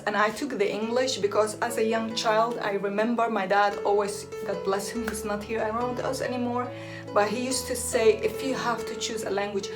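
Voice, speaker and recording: Chatty woman, native Aramaic speaker. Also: mid-range voice. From Iraq. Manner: chatty, laughs often, loose restarts. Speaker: hair and beauty vlogger. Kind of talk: casual talk to camera.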